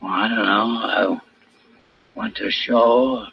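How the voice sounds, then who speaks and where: A man's voice: on the low side, steady tone, takes it slowly, creaky. A man playing a surly, defensive American ex-convict mechanic with grumbling, slangy speech in a 1950s radio drama. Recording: dull, noisy old radio recording.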